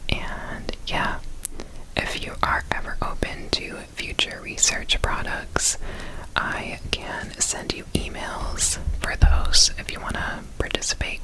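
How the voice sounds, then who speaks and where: A woman speaks entirely in a breathy whisper, taking her time with each phrase. She is gentle and reassuring, like an examiner in an ASMR roleplay, and often repeats small words in a soothing, unhurried way. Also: poor audio. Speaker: ASMR performer playing an alien researcher.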